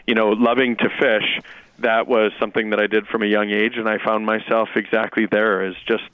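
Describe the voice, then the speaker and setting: A Jesuit priest speaking in a radio interview as a guest, reflective and measured, with fillers and restarts. His first language is Lithuanian. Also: fairly low pitch, flat delivery, clear, resonant tone, no echo. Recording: phone-like narrow-band radio audio.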